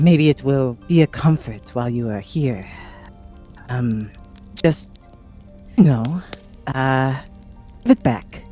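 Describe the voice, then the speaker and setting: A player acts out a wandering fantasy sky cowboy in a tabletop audio drama. The voice is medium-pitched and unhurried, halting and guarded yet kindly. Soft music runs behind it. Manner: hesitant, halting.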